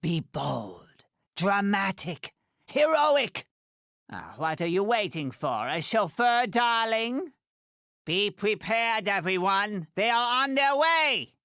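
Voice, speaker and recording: Game role: haughty little middle-aged woman designer, theatrical, wildly animated pitch, sharp bright tone. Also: takes it slowly, no room sound, studio quality, exaggerated voice. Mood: goading, impatient, then urgent, alarmed. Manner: haughty, dramatic, clipped delivery with affectionate condescension. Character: tiny, imperious, theatrical middle-aged female fashion designer for superheroes. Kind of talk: video game character voice lines.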